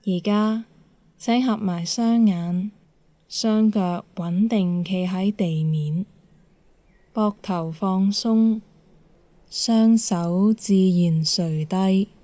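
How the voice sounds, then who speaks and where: A woman leads a guided meditation as an instructor, calm and measured, giving gentle instructions with pauses. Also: medium pitch, no echo.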